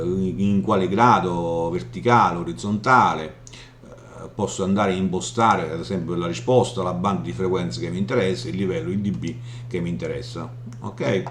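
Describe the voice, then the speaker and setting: Man, deep register, informal screen demo, hesitant explanatory delivery, echoey indoor room, light hum noise. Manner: halting, explanatory, frequently checking with "ok?". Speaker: software tutorial presenter. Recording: roomy echo, audible background hum noise.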